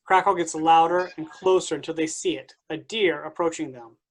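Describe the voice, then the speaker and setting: Stage directions reader, mid-pitched. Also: steady tone, normal speed. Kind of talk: scripted narration for a staged reading.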